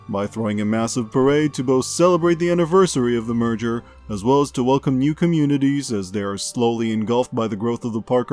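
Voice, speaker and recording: A man's voice: mid-range voice, a little mellow, lightly acted. A man playing an upbeat amusement news show host, reading scripted comedy news with showy announcer polish and a straight face.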